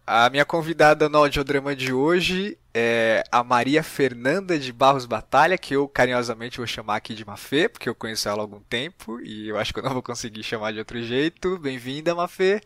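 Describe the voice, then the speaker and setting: A man with a Brazilian accent hosts a podcast interview. His voice sits at a middling pitch, and he speaks informally, as to someone he knows. The sound is dry, with hardly any room on it. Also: a little fast, few pauses, a bit bright, no echo.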